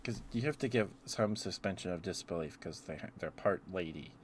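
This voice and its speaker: A man with a fairly low voice talks in a casual ASMR ramble. He thinks out loud, hesitating and leaving thoughts half finished. There is some audible background noise in the recording. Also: lively intonation, a little fast. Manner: hesitant, musing aloud with trailing thoughts. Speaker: streamer.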